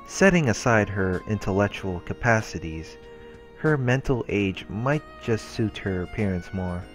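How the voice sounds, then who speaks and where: Man calmly reading game narration. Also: fairly low pitch, unhurried. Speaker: let's play host. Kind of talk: reading visual novel narration aloud. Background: game music and voices.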